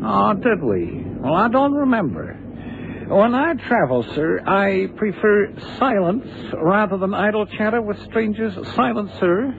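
A man's voice: high-pitched, big pitch swings, unhurried, vocal fry, full character voice. A man playing a fussy, grumpy retired traveller in a radio drama, prim and easily flustered. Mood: huffy. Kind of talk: 1970s radio drama acting. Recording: noisy phone-like old radio recording.

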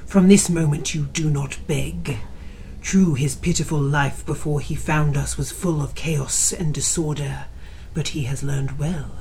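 A cold elder of a demonic order delivers orders in a breathy whisper, as an actor voices the part. The pace is slow and deliberate. Faint noise hangs underneath. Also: mid-range voice, steady tone, darkish tone, close to an everyday voice, rough recording. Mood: commanding.

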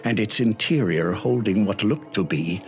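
Radio horror narrator, male, played by an actor: low voice, formal, grave, deliberate, over a music bed. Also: lively intonation, natural voice. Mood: grave, ominous.

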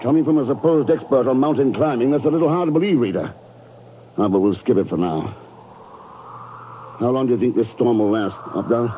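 An actor playing a calm, decisive secret agent in a radio drama, terse and dry in manner. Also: male voice, mid-range voice, fairly quick, pauses often, natural voice. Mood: skeptical, pointed. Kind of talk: 1950s radio drama acting. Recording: muffled, very noisy 1950s radio recording.

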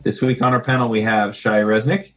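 A man's voice: fairly low pitch, steady tone, fairly quick, soft background music. A man hosting a tech podcast, chatty and friendly, who laughs easily. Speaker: podcast host.

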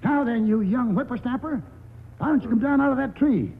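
Old country grandfather, cantankerous, soft-hearted, high voice, noisy vintage radio recording. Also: brisk, narrow, tinny audio. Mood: blustering. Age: older. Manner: gruff, blustering, rough-spoken. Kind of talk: 1940s radio acting.

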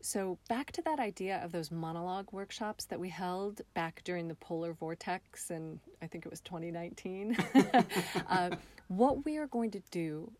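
A woman's voice: lowish voice, normal speed, a little mellow. A woman speaking as an interview guest, explaining an arts project in a conversational way. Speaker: interview guest, theatre artist.

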